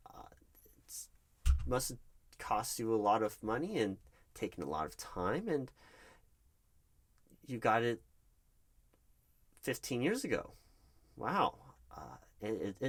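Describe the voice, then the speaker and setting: A man's voice: medium pitch, full character voice. A man playing a nervous, flustered recruiting manager in an interview roleplay, stammering and awkwardly polite. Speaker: roleplay performer. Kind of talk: one-sided interview roleplay.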